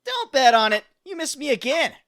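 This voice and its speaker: A woman's voice: high-pitched, big pitch swings, a little fast, a bit bright, slight background noise. A woman voicing an earnest, wisecracking young superhero in a dramatic comic dub. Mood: cocky, joking.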